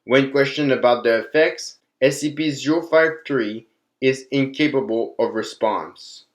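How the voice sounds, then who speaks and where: A man narrating scripted case files in a formal, measured way. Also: medium pitch.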